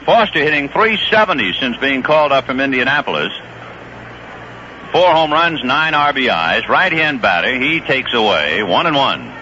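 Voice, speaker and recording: A man calling a baseball game play by play on the radio, chatting easily about the players between pitches. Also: normal speed, a little hiss or hum. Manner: relaxed, conversational storytelling between pitches. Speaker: play-by-play announcer. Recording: dull lo-fi 1970s radio broadcast.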